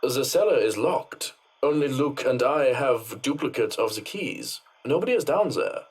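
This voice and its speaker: An actor playing a stoic, formal head butler and former doctor, speaking in a clipped, dry, condescending manner. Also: male voice, mid-range voice, expressive, normal speed, slightly rough, rough recording. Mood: puzzled, firm.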